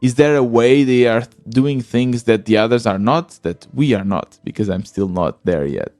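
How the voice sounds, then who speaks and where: A man hosting a podcast in a plain, mid-pitched voice, earnest and thinking aloud as he goes, with small restarts. The sound is dry and close, with no room around it. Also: normal speed, a little mellow, no room sound. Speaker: podcast host. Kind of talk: solo podcast monologue.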